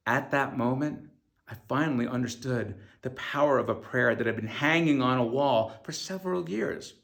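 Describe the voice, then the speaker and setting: A man's voice: fairly high pitch, creaky. A middle-aged man reading his own memoir as an author, in an earnest, reflective way. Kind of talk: scripted first-person memoir narration.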